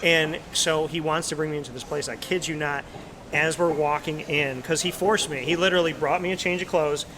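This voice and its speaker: A man hosting a casual live talk show, telling animated stories and asking a guest questions. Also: fairly high pitch, a little fast, noisy background. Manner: chatty storyteller, animated asides. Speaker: host. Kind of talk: casual interview chat. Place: busy restaurant. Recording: loud crowd chatter behind.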